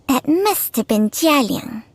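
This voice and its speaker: A woman's voice: high-pitched, sing-song, slow, no room sound, heavily acted. A woman voicing a knowing, matter-of-fact talking snake companion in a video game.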